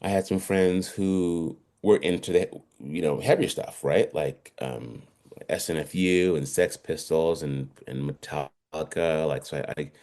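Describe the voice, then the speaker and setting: Very low-pitched man, fifties, from Trinidad, halting casual chat, video call. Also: warm tone. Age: 50s. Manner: halting, many restarts and fillers. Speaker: guest musician.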